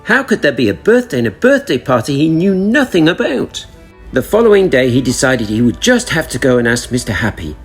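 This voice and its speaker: A man reads a children's story with expressive, deliberate delivery. His mid-pitched voice moves up and down a lot and has a husky grain. Faint music plays behind him.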